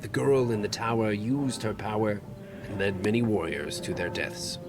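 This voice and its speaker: A man playing a grave, sceptical fairy-tale storyteller, narrating formally and solemnly in an animated series. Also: fairly low pitch, normal speed, close to an everyday voice.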